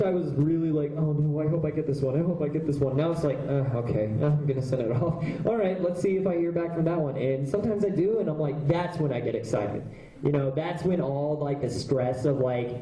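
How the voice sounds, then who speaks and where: A man's voice: a little high, mellow. A man, a voice actor, casually answering audience questions at a convention panel, talking loosely with plenty of fillers. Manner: chatty, loose, lots of fillers. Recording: echoey panel room.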